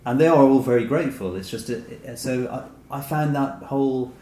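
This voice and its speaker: A man giving reflective, conversational answers as an author being interviewed, often softening his points. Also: mid-range voice, a little fast. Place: indoor room with echo. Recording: echoey room, some background noise.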